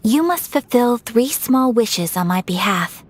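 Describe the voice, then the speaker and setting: A woman playing a gracious young noblewoman in an anime video game, formal, measured, courteous and earnest. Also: on the high side, animated.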